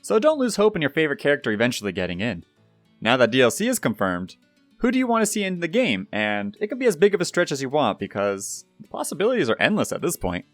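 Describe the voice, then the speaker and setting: A man's voice: fairly high pitch, huge pitch swings, fast talker, no room sound. A young adult man narrating gaming news in a scripted, upbeat presenter style, addressing viewers directly. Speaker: YouTube gaming news host. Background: background music.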